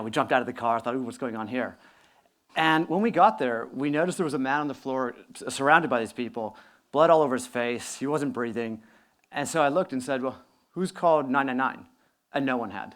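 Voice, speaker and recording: A man's voice: mid-range voice, fairly bright tone. Man telling a story on a conference stage. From northern Italy. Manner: earnest, fluent storyteller. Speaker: conference speaker. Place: conference stage before an audience.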